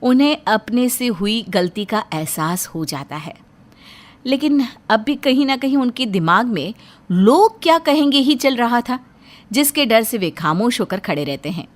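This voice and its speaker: A woman's voice: on the high side, very animated, measured pace. A woman narrator reading a scripted family story.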